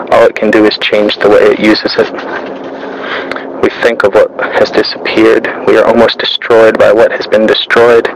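A man narrating a scripted literary monologue, reciting in a measured, even manner with the odd small stumble. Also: fairly low pitch, creaky, background noise.

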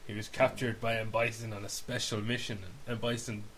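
A man's voice: medium pitch, level tone, fairly quick, fairly bright tone, low-quality recording. A man co-hosting a casual podcast about films and games, talking bluntly and swearing freely as he dismisses things. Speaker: podcast co-host. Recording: hissy podcast recording with background noise.

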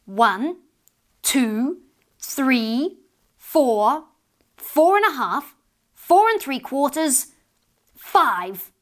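A woman voicing a cross, weary mother who scolds her child in a sharp, stiff voice. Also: on the high side, expressive, normal speed, natural voice. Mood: scolding.

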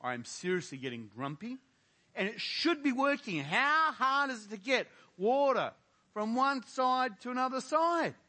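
Older pastor with a bright, forceful voice. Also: male voice, very high voice, very animated, normal speed. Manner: earnest preaching full of rhetorical questions to the congregation; frequent fillers and restarts. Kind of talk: sermon with opening prayer.

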